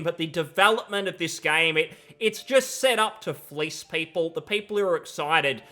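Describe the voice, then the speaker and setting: A man's voice: sits high, bright, forceful tone. A young man giving critical, disapproving gaming commentary with emphasis, speaking close to a desk microphone in a room at home. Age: young adult. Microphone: close desk microphone, headset on.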